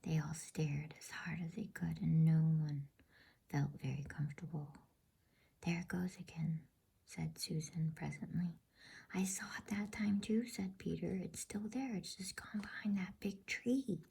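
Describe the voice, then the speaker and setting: A woman whispering softly and soothingly in an unhurried ASMR style, as the host of a bedtime reading. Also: lowish voice. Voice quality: breathy whisper.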